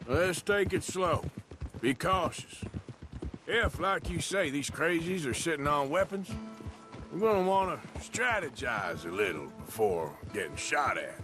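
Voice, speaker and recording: A man's voice: on the high side, animated, measured pace. An actor playing a cautious, dry-humored male outlaw in a game cutscene, with a Western drawl. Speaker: game character. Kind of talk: cutscene voice acting. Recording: game audio with music under it.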